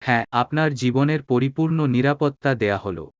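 A man plays a rich, heavy, ailing vizier in a cartoon fable. His voice sits at a middle male pitch in a dry, close recording. He talks formally, as one used to giving orders. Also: normal speed, dry recording, lightly acted. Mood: granting, assured. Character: ailing, obese, wealthy male vizier, commanding. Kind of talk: animated moral tale dialogue.

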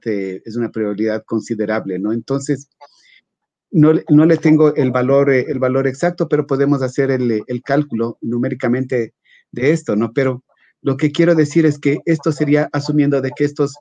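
Halting man, dull call audio. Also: medium pitch, dark tone, no echo. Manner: halting, repeats words, frequent questioning "¿no?" tags. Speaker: scientist, workshop panelist. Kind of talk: explanatory academic panel talk.

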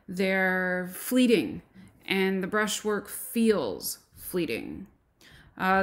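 A woman giving a recorded art history lecture over slides, pausing often with ums. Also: medium pitch. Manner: slow, with frequent ums and pauses.

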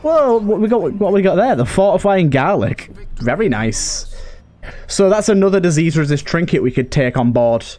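A man's voice: sits high, very animated, normal speed, darkish tone. A man giving casual let's play commentary, muttering to himself as he thinks through his moves. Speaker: gaming video host. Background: game music.

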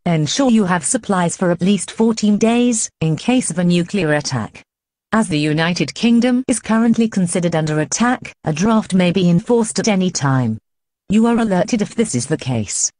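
A woman announcer with a measured, impersonal synthetic delivery reads a formal scripted emergency broadcast. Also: fairly low pitch, lively intonation, a little mellow, no echo.

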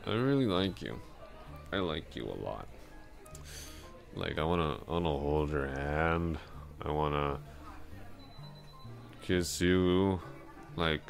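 Very deep-voiced drunk lovestruck coworker, acted by a man. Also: creaky, faint music behind, exaggerated voice. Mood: bashful, smitten. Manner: rambling, halting, repeating himself. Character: drunk lovestruck male coworker, rambling, bashful and self-deprecating. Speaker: ASMR roleplay voice actor. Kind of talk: intimate ASMR roleplay acting.

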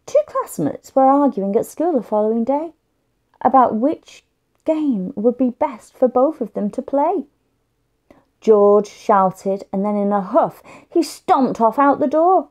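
A woman's voice: a little high, expressive, a little slow, darkish tone. A woman reading a picture book aloud expressively and carefully for young children. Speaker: children's story reader.

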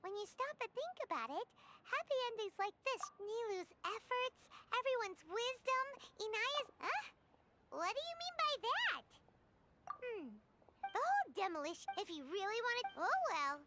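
A woman voicing a tiny, childlike, curious fairy companion in a video game, with a squeaky voice. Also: sing-song, normal speed. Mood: puzzled. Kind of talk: video game character acting. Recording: loud noise under game audio.

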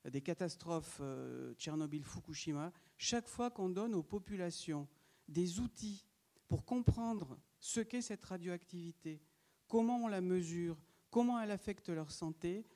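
A man's voice: sits high, animated, measured pace, dark tone. Insistent man speaking to a debate. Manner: insistent, emphatic. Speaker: panel speaker from a radiation monitoring group. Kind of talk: public talk in a debate.

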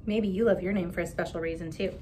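Teacher lady in her 30s doing a kids storytime, animated and chatty, bit of low rumble behind her. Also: quick pace. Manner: animated, emphatic, addressing children directly. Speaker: teacher reading a picture book aloud. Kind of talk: expressive children's read-aloud with chatty asides. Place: small indoor room with bare wall. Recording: steady background noise with low rumble.

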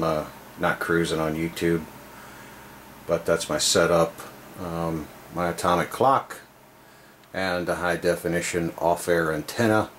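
A man's voice: deep voice, a little hiss or hum. A man giving a casual, offhand room tour on a handheld camera, talking in salty, folksy, self-deprecating slang. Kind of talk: casual offhand vlog narration. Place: small home gun room, indoors. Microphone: handheld camera, operated by the speaker.

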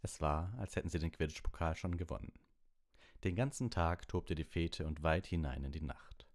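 A man's voice: very deep voice, normal speed, a little mellow. A man narrating a storybook as an audiobook reader.

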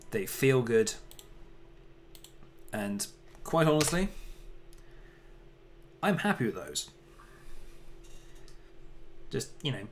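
A man's voice: medium pitch, slight background noise. A man chatting casually while livestreaming his 3D modeling work, self-deprecating and prone to little exclamations.

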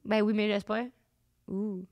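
A woman's voice: mid-range voice, level tone, a little slow, darkish tone. A young woman with a Québécois French accent co-hosting a podcast, teasing with dry comebacks and reading sponsor spots into a handheld mic. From Quebec. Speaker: podcast co-host. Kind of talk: casual chat. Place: studio set on a couch. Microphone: handheld studio mic.

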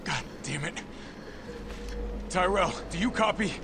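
An actor playing a tough young male mercenary, urgent and rough-edged, in a game. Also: sits high, expressive, a little slow, heavy background noise. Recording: music playing behind.

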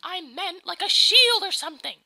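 Someone doing a very high, dim pirate girl. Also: lively intonation, moderate pace, gravelly, sharp tone, no echo, clean studio sound, exaggerated voice. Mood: reluctant, annoyed. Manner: casual, slangy, oblivious. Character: dim, breezy young pirate girl captain. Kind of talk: amateur parody dub of a video game.

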